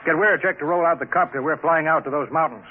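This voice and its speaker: A man's voice: fairly high pitch, quick pace, phone-quality sound, lightly acted. A man playing a bossy colonial bureau chief. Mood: brusque. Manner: brusque and commanding. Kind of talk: 1950s radio drama acting. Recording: scratchy old radio broadcast.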